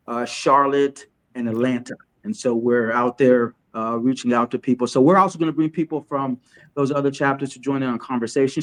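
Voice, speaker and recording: This is a man from Chad hosting a casual online talk stream, a voice of middling pitch. He talks loosely, breaking off and restarting his sentences as he juggles the setup and greets whoever has joined. His tone stays easygoing and unscripted. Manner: halting, many restarts while setting up. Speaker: host. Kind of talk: casual livestream interview hosting.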